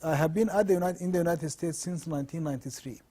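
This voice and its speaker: A middle-aged man from Sudan, a law professor answering interview questions in measured, earnest explanations. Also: on the high side, dark tone.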